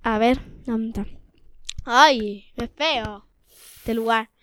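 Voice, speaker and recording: A woman's voice: on the high side, slow pace, a bit bright. A woman acting in an improvised exercise, with a little noise behind her. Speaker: improv theatre student. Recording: faint background noise.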